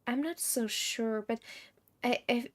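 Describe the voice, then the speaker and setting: A woman's voice: on the high side, slow pace. A woman game streamer giving casual live commentary, musing and thinking aloud.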